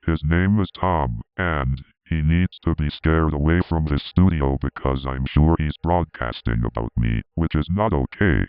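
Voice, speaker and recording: Very deep evil dragon villain, acted by a man. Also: unhurried, few pauses, clear, resonant tone, no room sound, lo-fi sound. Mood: menacing resolve. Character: gleeful menacing male dragon villain, arsonist. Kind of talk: amateur audio drama monologue.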